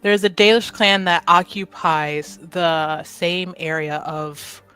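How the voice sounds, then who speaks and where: Woman, slightly low pitch, slow pace, halting and apologetic, improvised tabletop roleplay, faint music behind. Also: fairly even intonation, sharp tone, clear, resonant tone, low-quality recording. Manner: halting, apologetic, thinking aloud. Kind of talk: improvised actual-play roleplay, casual narration.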